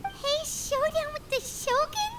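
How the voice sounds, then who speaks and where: A woman voicing a small, excitable, childlike fairy companion in a video game, with a squeaky voice. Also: slow pace, heavily acted. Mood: flustered. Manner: excitable, refers to self in third person.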